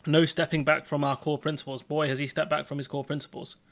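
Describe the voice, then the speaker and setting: A man's voice: on the high side, level tone, quick pace, a bit bright. A man hosting a political livestream, ranting with exasperated sarcasm and frequent restarts. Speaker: livestream host.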